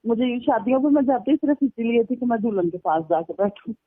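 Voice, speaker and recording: A woman's voice: a little high, lively intonation, fast, dry recording. A woman chatting casually and recounting personal stories over a band-limited phone call. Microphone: telephone line.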